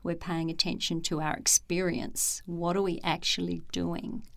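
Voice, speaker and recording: A middle-aged woman, a former executive turned meditation teacher, speaking in a calm, measured and thoughtful way with frequent pauses. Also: on the low side, creaky. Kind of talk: reflective interview talk.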